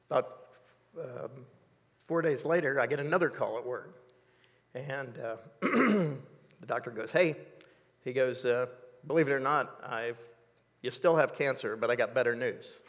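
A man in his sixties telling a personal story in an interview, measured and reflective, with many fillers, on a dark studio set. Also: mid-range voice, big-room sound. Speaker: interview guest.

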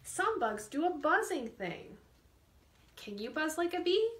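Lady doing kids storytime, high voice, reads real slow and expressive. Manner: expressive, deliberate reading with drawn-out words and questions to children. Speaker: children's librarian hosting storytime. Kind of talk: read-aloud picture book storytime for young children. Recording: echoey room sound.

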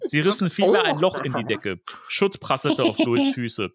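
A man's voice: fairly high pitch, huge pitch swings, normal speed. A man with a German accent, co-hosting a podcast, reading a story playfully with dramatic emphasis and joking asides. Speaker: podcast co-host reading a film novel aloud.

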